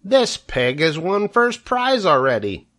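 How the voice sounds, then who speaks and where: A man voicing an excitable young farm boy calling out in a high voice. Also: slow, exaggerated voice. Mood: excited. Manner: excited, shouting out.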